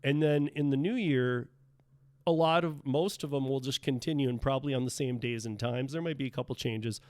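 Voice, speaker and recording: A man with a mid-pitched voice, a bit hoarse, hosting a casual solo podcast. He rambles, restarts his sentences and drops plenty of ums. The sound is close and dry, without room echo. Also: a little fast, darkish tone. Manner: rambling, many fillers and restarts. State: losing his voice a little. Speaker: podcast host. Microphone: podcast microphone.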